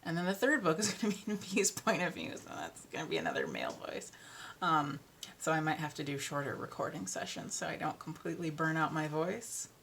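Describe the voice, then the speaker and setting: Low-voiced woman chatting casually. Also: big pitch swings, brisk, gravelly, fairly bright tone, slight background noise. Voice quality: deeper voice. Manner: rambling, self-deprecating, frequent ums and laughs. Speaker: audiobook narrator and vlogger. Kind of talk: casual chat.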